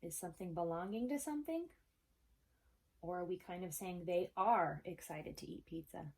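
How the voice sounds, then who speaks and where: A woman's voice: on the low side, moderate pace, darkish tone. A woman teaching a third-grade class in a recorded lesson, casual and encouraging, checking in with her pupils as if they could answer. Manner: casual, checks in often with okay. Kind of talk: recorded video lesson for children.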